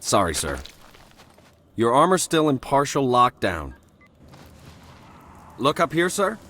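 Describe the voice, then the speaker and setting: A man's voice: medium pitch, huge pitch swings, slow pace, bright, forceful tone, a little hiss or hum, full character voice. An actor playing a dutiful male marine corporal technician, businesslike and deferential in manner. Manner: deferential, procedural.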